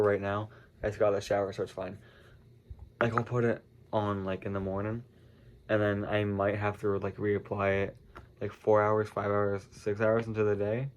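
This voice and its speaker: A congested teenage boy chatting casually to camera, rambling with lots of fillers and self-corrections. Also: fairly low pitch. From United States. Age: teens. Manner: meandering, heavy use of "like" and "um", frequent self-corrections. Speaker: vlogger.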